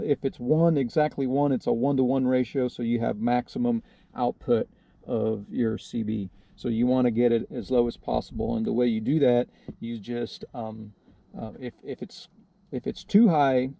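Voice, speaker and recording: A man's voice: mid-range voice, gravelly, warm tone, lo-fi sound. A man giving a casual, hands-on how-to explanation in a hesitant, unscripted and modest way, as the host of a DIY video. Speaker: DIY video host demonstrating a radio installation.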